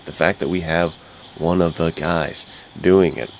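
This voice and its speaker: Very low-voiced man, enthusiastic. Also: unhurried, gravelly, noisy background. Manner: excited, bro-ish, slangy. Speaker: podcast co-host. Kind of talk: casual enthusiastic podcast chat.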